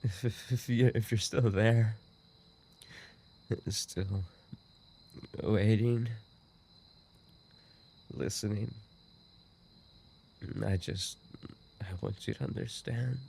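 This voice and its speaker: A man's voice: on the low side, fairly even intonation, drawn-out words, vocal fry, pauses often, dark tone, breathy edge, exaggerated voice. A man playing a dying, obsessive and remorseful yandere roommate in an audio roleplay, speaking in weak, broken, repeated phrases. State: weakened, dying from an injury. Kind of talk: boyfriend ASMR audio roleplay acting.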